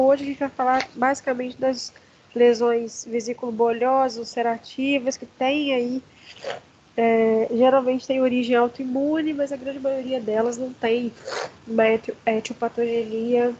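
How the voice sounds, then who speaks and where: Hoarse Brazilian woman lecturing, muffled. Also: a little high, darkish tone, a little hiss or hum. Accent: Brazilian Portuguese. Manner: halting, many fillers and restarts. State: hoarse from allergy. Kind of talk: online lecture.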